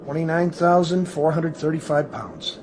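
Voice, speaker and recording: A man's voice: fairly high pitch, moderate pace, darkish tone, a little hiss or hum, lightly acted, rough recording. A man acting in a radio drama as a dutiful, uneasy junior member of an airline crew. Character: dutiful, uneasy male junior flight crewman. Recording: music behind the voice.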